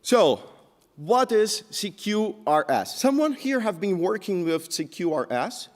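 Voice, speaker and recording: A man from Brazil with a Brazilian Portuguese accent presents a technical talk on a conference stage. He speaks in a slightly high voice, stops often on filler sounds, and jumps up in pitch to stress words. The sound carries the long echo of a large hall. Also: big pitch swings, unhurried.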